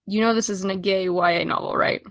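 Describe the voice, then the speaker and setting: A woman's voice: mid-range voice, steady tone, fairly quick, a bit bright, dry recording, natural voice. An actress playing a guarded, hungover teenage girl, dry, hesitant and defensive, in a naturalistic audio drama. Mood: exasperated.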